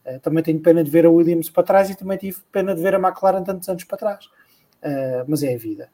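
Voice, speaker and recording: A man's voice: fairly high pitch, fast talker, dark tone. A man co-hosting a casual podcast, rambling and thinking aloud with many repeats. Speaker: podcast co-host. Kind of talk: casual podcast chat about motor racing.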